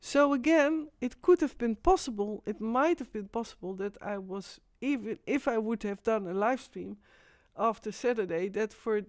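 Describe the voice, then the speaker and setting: A Dutch woman hosting a live stream, talking in a rambling, halting way with frequent restarts. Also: fairly high pitch, a little mellow, no room sound. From Netherlands. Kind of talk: casual live stream monologue.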